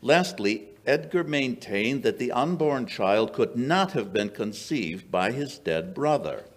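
An older man acting a shrewd, dry-witted gentleman detective in old-time radio style, with measured, theatrical storytelling. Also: mid-range voice, expressive. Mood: sardonic, grave. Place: small indoor stage before an audience. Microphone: vintage-style stand microphone.

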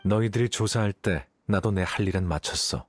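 An actor playing a cool, aloof young scholar in a video game, deadpan and dismissive, with a slightly husky voice. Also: male voice, fairly low pitch, moderate pace, lightly acted. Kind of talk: game character dialogue.

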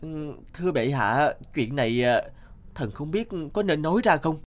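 A man voicing a meek but crafty young palace servant in an animated folk tale, deferential, hesitant and pleading, with music behind. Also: on the high side, normal speed, close to an everyday voice. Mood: deferential, cautious.

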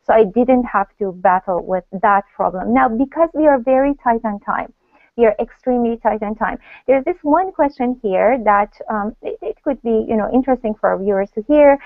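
A middle-aged woman from Iran leads a panel discussion over a video call at home. She talks in a brisk, courteous way and steers the conversation firmly. Her voice comes through a tinny, phone-like call line with a dry, close sound. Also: mid-range voice, no echo. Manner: brisk, polite, wraps up firmly. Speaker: moderator. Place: home room, indoors. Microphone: laptop webcam video call.

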